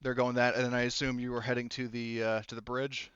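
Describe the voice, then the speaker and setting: A man narrates a tabletop game in a clean, smooth voice at an ordinary pitch. He is the game master, talking casually and haltingly with loose, sweary phrasing. The recording is dry and slightly dull. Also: level tone, a bit bright, no echo. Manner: halting, informal, swears freely.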